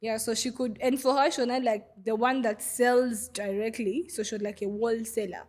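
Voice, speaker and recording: A young woman from Goma in the DR Congo, talking as a guest on a podcast. Her voice sits a little high, and her story comes out unhurried and searching, full of "like" and "uh". It is close-miked in a studio. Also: steady tone. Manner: warm, hesitant storytelling with many fillers. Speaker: guest, refugee vendor. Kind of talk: podcast interview.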